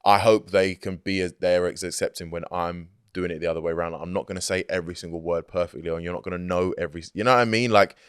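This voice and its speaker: Low-voiced laid-back man in a studio. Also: steady tone, quick pace, few pauses, clean tone, dry recording. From Greek heritage, grew up outside Greece. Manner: laid-back, peppered with "like" and "you know what I mean". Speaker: hip-hop artist guest. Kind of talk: casual interview chat. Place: recording studio.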